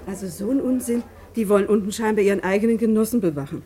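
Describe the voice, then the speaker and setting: A woman's voice: mid-range voice, fast talker, warm tone, close to an everyday voice. An actress playing a tender, fussing, unsuspecting middle-aged mother in a German radio play. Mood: fretful, puzzled. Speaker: actor in a radio drama.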